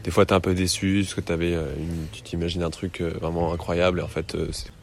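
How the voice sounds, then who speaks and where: A young man in his twenties with a deep, low voice talks casually in an interview. He rambles a little and pauses often, backed by a faint hiss. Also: vocal fry. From United States. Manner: relaxed, rambling, frequent hesitations. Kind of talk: casual conversation.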